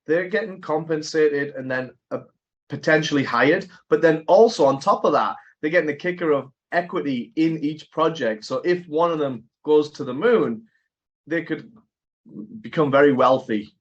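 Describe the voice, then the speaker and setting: A man hosting an interview, talking in an animated, conversational way and thinking aloud. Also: on the high side, moderate pace. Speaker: podcast host. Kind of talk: casual interview chat. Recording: dull lo-fi audio.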